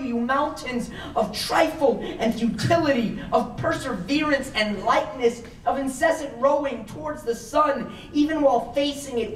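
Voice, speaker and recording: A man performing spoken word poetry to a live audience with theatrical, emphatic delivery and drawn-out phrases. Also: very high voice. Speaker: poet performing on stage. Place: medium-sized indoor room with seated audience. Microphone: far camera in the audience. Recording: roomy, distant audience recording with faint noise.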